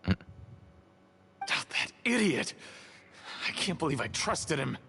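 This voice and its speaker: A man's voice: a little high, very animated, slow pace, vocal fry, pushed and bright, heavily acted. A man voicing a nervous, shifty male schemer in a video game, stammering and defensive, with slight hall reverb. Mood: panicky.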